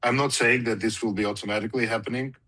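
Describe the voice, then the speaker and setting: A man with a low, rough-edged voice speaks as a company executive on an earnings call. He explains off the cuff, repeating phrases for emphasis. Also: steady tone, quick pace, bright, forceful tone. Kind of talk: unscripted answer on an earnings call.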